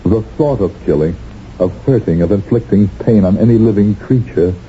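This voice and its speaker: An actor playing a haunted former secret police colonel reborn as a gentle professor, speaking in halting, deliberate phrases in a radio drama. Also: male voice, fairly low pitch, faint music behind, lightly acted. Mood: sickened, anxious. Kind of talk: 1970s radio drama acting with narration. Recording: dull 1970s radio recording.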